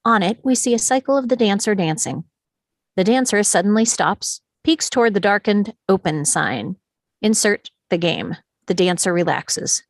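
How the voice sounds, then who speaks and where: A woman's voice: mid-range voice, lively intonation, moderate pace, no room sound. Woman reading screenplay directions, dry sound. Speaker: stage-directions reader. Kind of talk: scripted narration at a screenplay table read.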